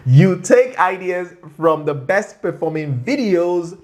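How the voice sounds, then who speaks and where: A tutorial host talking viewers through steps on screen in a chatty, playful way, often checking in with a quick okay or right. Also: medium pitch, lively intonation, darkish tone. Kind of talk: screen-share tutorial narration.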